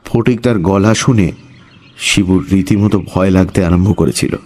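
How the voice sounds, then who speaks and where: A man's voice: low voice, normal speed, darkish tone. A man narrating a scripted suspense story as its storyteller.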